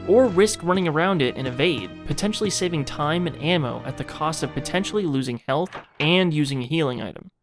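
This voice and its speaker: A man hosting a video game retrospective, giving informal, mildly sweary commentary. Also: fairly high pitch.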